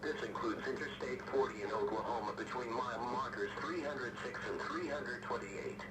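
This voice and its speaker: A synthetic male text-to-speech voice reads scripted emergency weather warnings as an automated radio announcer, formal, impersonal and stiffly measured. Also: medium pitch, over a noisy crowd. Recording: noisy, staticky weather radio broadcast.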